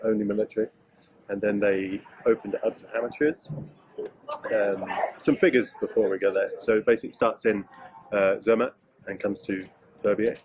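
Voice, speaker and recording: Joking English man, lowish voice. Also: steady tone, normal speed, darkish tone, a little hiss or hum. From England. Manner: joking, hesitant, with fillers. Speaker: interviewee, mountain bike guide. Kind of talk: casual interview chat.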